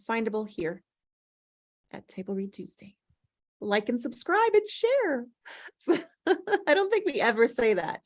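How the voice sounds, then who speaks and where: A woman hosting an online table read in casual, slightly scattered chat over a video call. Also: fairly high pitch.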